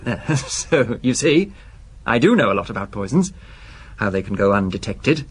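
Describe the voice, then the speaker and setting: An actor playing a nervous, obsessive husband in a radio drama, speaking hesitantly and apologetically yet with stubborn insistence. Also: male voice, fairly low pitch, very animated, normal speed, slightly rough. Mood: insistent, tense. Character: nervous, obsessive shop-employee husband fearing poisoning.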